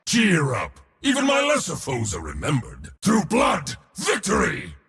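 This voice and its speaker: A man voicing a proud, boastful god of war for a video game, gravelly, pompous and theatrical in delivery. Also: on the high side, wildly swooping pitch, a little slow, a bit bright, raised voice, in a large hall, exaggerated voice. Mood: battle-roused, commanding. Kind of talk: video game character voice lines.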